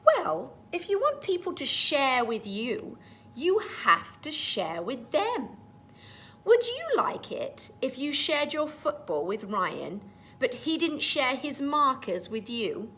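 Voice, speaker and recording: Woman playing a kind schoolteacher with lifted, lively pitch. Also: slow, exaggerated voice. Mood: patient, instructive. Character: kind, patient female schoolteacher guiding a child. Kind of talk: storybook character voice.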